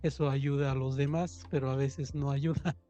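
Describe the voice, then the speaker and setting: A man's voice: medium pitch, level tone, moderate pace, mellow, soft background music. A man taking part in a translation workshop, asking tentative questions and qualifying his own points. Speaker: workshop participant.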